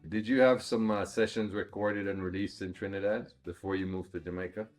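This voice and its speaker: Man, interviewer, low voice, calm questions, faint music behind. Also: fairly quick.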